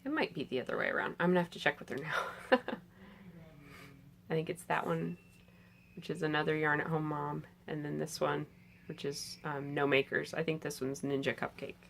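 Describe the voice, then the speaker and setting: A woman in her thirties, a little hoarse from a cold, chatting enthusiastically about her knitting projects in a bedroom, with many filler sounds. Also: deep voice, fairly even intonation, moderate pace, a bit bright, a little hiss or hum. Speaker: knitting podcast host. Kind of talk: casual show-and-tell chat. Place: bedroom with pillows, indoors.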